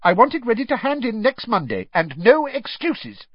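A man voicing a dreamy, theatrical older woman teacher who speaks in an airy, drawn-out mystical way and turns sharp when cross. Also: a little high, sing-song, no room sound, voice pushed up. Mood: snappish. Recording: dry, slightly dull studio recording.

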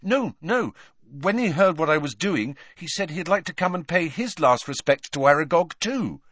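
A man voicing a polite, earnest teenage boy wizard, speaking higher than his own voice in a reassuring way. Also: lively intonation, normal speed, a bit bright, no room sound, clean studio sound.

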